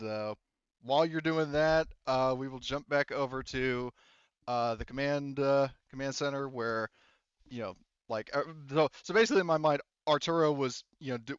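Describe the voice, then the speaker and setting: A man narrating a tabletop roleplaying game as game master, in a halting, conversational and casual way. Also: mid-range voice, clean tone, dry recording, muffled recording.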